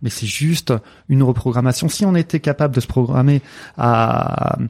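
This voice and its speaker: A man with a Marseille accent speaks with a gravelly, mellow voice, dry and close. He is a sports coach in a relaxed interview, hesitating and restarting often, dropping in jokes. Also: medium pitch, normal speed, no room sound. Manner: hesitant, many fillers and restarts, jokey asides. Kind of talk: casual interview conversation.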